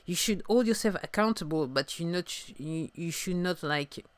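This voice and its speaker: A woman's voice: on the low side, no echo. Reflective French-accented woman in her fifties. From France. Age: 50s. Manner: halting, searching for words, soft and musing. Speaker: singer-songwriter podcaster. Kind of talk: reflective solo podcast talk.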